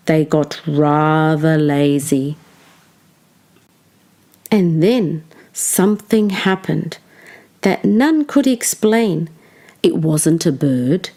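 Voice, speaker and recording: A woman teacher leading a children's storytime, expressive and encouraging, speaking directly to young listeners. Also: on the low side. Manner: encouraging, addresses children directly with pauses for answers. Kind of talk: children's storytime lesson, expressive read-aloud.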